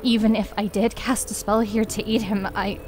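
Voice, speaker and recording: A woman's voice: mid-range voice, little pitch movement, moderate pace, a little mellow, faint music behind, lightly acted. Grave, earnest druid healer, voiced by a woman. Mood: grave, frustrated. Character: somber, resolute female druid healer. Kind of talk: dramatized fantasy audiobook acting.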